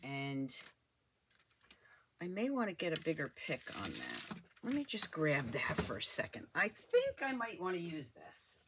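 Low, bright-voiced older woman crafting. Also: wildly swooping pitch, measured pace, a little hiss or hum. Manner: chatty, thinking aloud while working. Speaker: crafting channel host. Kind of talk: casual crafting tutorial voice-over. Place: home craft desk.

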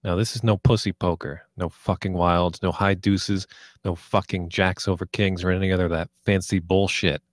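A man's voice: low-pitched, level tone, normal speed, dry recording, clean studio sound, close to an everyday voice. A man playing a crude, domineering gambler who runs a poker table, curt and commanding, in a script table read. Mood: menacing, curt.

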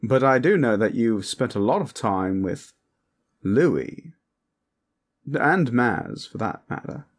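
A man's voice: mid-range voice, big pitch swings, heavily acted. A man voicing a lecherous, flamboyant vampire protector in a comedic audio roleplay, smug, pompous and suggestive. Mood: suggestive, coaxing. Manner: theatrical, drawn-out, suggestive, pompous. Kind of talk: comedic character roleplay monologue, talking to the listener.

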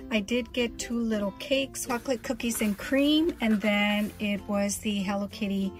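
A woman's voice: mid-range voice, steady tone, moderate pace, soft background music. A woman chatting casually in a vlog, showing her purchases and gushing, often restarting her sentences.

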